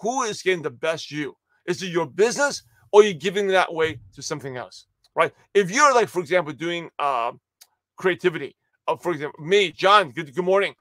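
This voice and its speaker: A man in his forties hosting a live morning pep talk from a home studio, energetic and upbeat, his voice slightly raspy and tired. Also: on the high side, a little fast, dry recording. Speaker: livestream host and network marketing coach. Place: home studio office with shelves.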